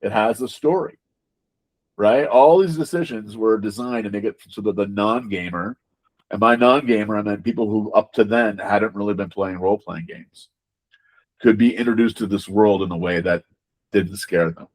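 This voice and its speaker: A man's voice: lowish voice, normal speed, low-quality recording. A man giving conversational interview answers as a game designer, emphatic, repeating words and often checking in with rhetorical questions.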